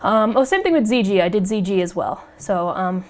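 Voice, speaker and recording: A woman with a mid-range voice, slightly raspy from a lingering cold. She hosts a casual podcast chat, talking in a chatty, excitable way and laughing often. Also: medium pitch, normal speed. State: getting over a cold, a little raspy. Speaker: podcast host.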